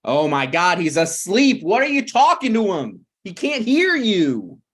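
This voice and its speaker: A man playing a brazen, scheming slacker screenwriter in a table read of a TV pilot, blunt and presumptuous with halting phrasing. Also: high-pitched, wildly swooping pitch, a bit bright, exaggerated voice. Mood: exasperated.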